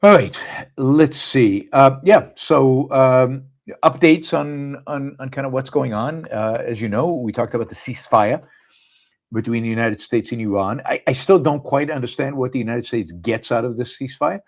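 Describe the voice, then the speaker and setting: Halting Israeli-accented man hosting live. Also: mid-range voice. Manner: halting, many fillers and restarts. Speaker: podcast host. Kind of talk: live casual monologue. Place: abroad, speaking on a live stream.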